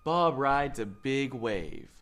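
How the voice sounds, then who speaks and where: Young adult male storyteller for picture books, unhurried delivery, animated pitch. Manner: measured, expressive storytelling with lifted quoted lines. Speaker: read-aloud host. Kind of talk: children's picture book read-aloud. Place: indoor studio.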